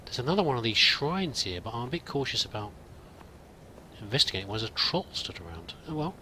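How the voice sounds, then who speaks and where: Bright-voiced man playing through a game. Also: medium pitch, big pitch swings, a little fast, slight background noise. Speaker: host of a roleplay let's play. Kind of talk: in-character game commentary, musing aloud.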